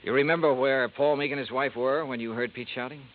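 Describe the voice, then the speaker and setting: A man's voice: medium pitch, fairly even intonation, fairly quick, close to an everyday voice. Man playing a wry, cool insurance detective. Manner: dry, laconic, easygoing. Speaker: narrator and lead detective. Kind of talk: 1950s radio drama acting and narration. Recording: tinny lo-fi old radio broadcast with faint hiss.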